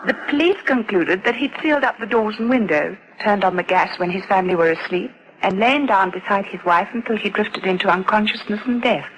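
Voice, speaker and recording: An actress playing a proper, confiding adoption official in a radio drama, formal, deliberate and well-spoken. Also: female voice, mid-range voice, slight background noise, natural voice, low-quality recording. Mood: grim.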